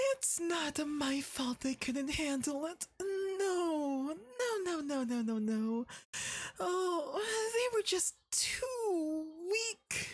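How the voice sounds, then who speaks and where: A woman's voice: very high-pitched, no room sound, heavily acted. A woman voicing a deranged, lovesick young male werewolf captor in a theatrical ASMR roleplay, swinging between sobs and menace. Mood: tearful, frantic. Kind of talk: ASMR roleplay, dramatic monologue.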